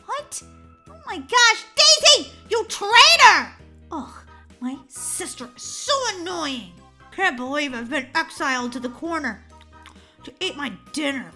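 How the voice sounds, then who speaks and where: A bratty young girl in a children's game roleplay, acted out by a woman, high and very bright. She is whiny and theatrical, swinging wildly in pitch over a music bed. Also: animated, measured pace, heavily acted. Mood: indignant. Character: bratty, melodramatic young girl, indignant when caught.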